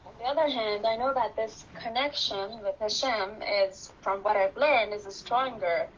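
A woman's voice: a little high, unhurried. A woman whose first language is Persian asks the rabbi an earnest, thoughtful question during an online class. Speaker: class participant. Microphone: video call. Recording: dull video call audio with faint hiss.

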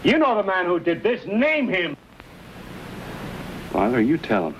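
A bold young American frontiersman from a vintage Western. His delivery is forthright and very animated. The old film soundtrack is clearly noisy. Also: high-pitched, measured pace, highs cut off, exaggerated voice. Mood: indignant. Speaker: actor playing the hero fur buyer. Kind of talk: 1950s Western screen acting.

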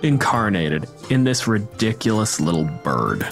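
A man's voice: fairly low pitch, sing-song, unhurried, creaky. A man running a tabletop role-playing game as game master, narrating with descriptive, dramatic phrasing. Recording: music bed under the voice. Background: background music.

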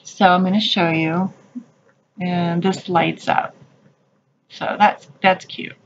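A woman's voice: lowish voice, flat delivery, slow pace, muffled recording, slight background noise. A woman narrating a casual shop-with-me video in a craft store, gushing and chatty about what she finds. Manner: gushing, chatty, calls things cute. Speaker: shopping vlogger. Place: craft store aisles, indoors.

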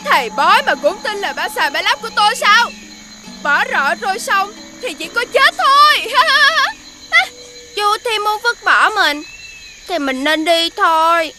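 A voice actor playing a cunning orphan village boy who wheedles and fibs in an animated folk tale. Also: very high-pitched, slow pace, full character voice. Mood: gloating. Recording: music bed under the voice.

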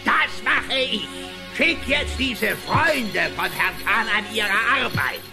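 An actor playing a pompous, greedy small-town sheriff who acts as judge in a children's cartoon radio play. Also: male voice, sits high, big pitch swings, slow, full character voice. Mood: bossy, pompous. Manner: pompous, domineering. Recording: echoey hall reverb, faint music.

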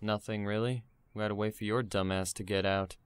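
A man's voice: lowish voice, level tone, a little fast, slight background noise, natural voice. A man playing a blunt, aloof, grumpy teenage schoolboy in an amateur audio drama. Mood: dry, annoyed.

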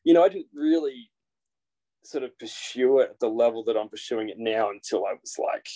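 A man in his thirties with an Australian accent, a guest talking casually over a video call from a small room, relaxed and full of fillers. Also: medium pitch, normal speed, poor audio. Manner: relaxed, rambling with frequent fillers and restarts. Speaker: guest, naturalist guide. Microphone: video call, built-in device mic.

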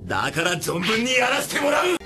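A man's voice: high voice, huge pitch swings, brisk, heavily acted. A man playing a proud, battle-hungry young fighter in an anime, intense and haughty. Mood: exhilarated defiance. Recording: loud music over the voice.

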